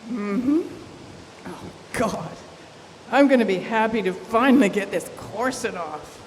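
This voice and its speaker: Woman acting tough suspicious dame, earthy grammar, slow delivery, radio drama, reverberant room, faint noise. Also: mid-range voice, lively intonation, a little mellow, natural voice. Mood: relieved, pleased. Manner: blunt, earthy, folksy ungrammatical speech. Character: tough, suspicious woman smuggler guarding stolen gold. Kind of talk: old-time radio drama stage acting. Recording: echoey live hall, faint noise.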